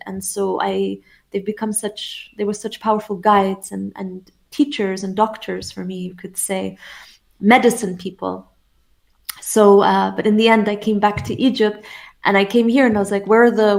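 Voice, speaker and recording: A woman from England talking as an interview guest, reflective and soft-spoken, with frequent laughs. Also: mid-range voice, creaky. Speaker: interview guest, shamanic teacher. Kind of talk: casual interview conversation.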